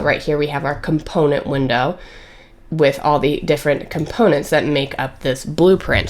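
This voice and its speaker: A woman instructor narrating a screen-recorded software tutorial, still getting over a cold, explaining step by step with frequent fillers. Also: low voice. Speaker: course instructor.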